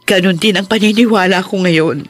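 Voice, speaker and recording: A woman's voice: medium pitch, lightly acted. An actress playing a steadfast, loving wife and mother in an earnest, emotional Filipino radio drama. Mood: tearful resolve. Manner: earnest, emotional, measured phrases. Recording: music behind the voice.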